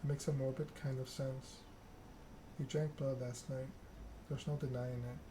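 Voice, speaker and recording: Male ASMR game streamer, flat and soothing read, clear background noise. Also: mid-range voice, normal speed. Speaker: streamer reading a narrative game aloud. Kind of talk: ASMR narration of game text.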